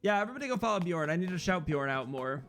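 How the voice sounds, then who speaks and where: A young man with a loud, slightly hoarse voice, rambling casually to his viewers as a live streamer while he plays a game. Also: high voice, very animated, racing. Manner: loud, rambling, talks straight to chat. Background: faint guitar music.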